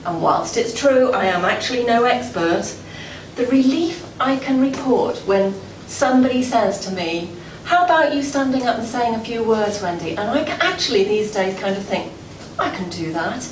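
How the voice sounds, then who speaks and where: A woman's voice: a little high, expressive, moderate pace. Distant, echoey woman giving a prepared speech. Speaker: club president giving an after-dinner address. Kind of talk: prepared public speech, storytelling. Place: indoor gala dinner room before an audience. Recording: echoey room, far from mic, noisy.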